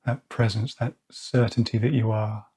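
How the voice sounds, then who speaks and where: Soft-spoken man guiding a slow meditation. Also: on the low side, little pitch movement, husky. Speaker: meditation guide. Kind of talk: guided meditation. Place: outdoors or by an open window with birds nearby.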